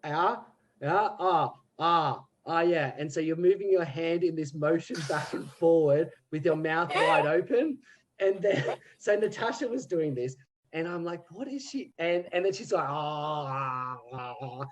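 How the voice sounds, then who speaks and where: A man in casual podcast chat about theatre, telling stories in an animated way and laughing often. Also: a little high, a little slow. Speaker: theatre group member.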